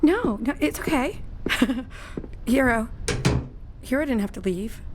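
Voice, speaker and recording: Young woman talking to friends, high voice, expressive and insistent, radio drama, slight noise behind. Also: slow. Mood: stunned, hurt. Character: teasing, headstrong, emotional young woman among friends. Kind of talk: naturalistic radio drama dialogue.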